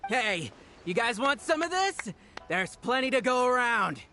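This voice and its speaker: Scrappy gang sidekick in a game, sharp high voice, swoopy and taunting. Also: male voice, lively intonation, normal speed, full character voice. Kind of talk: video game voice acting.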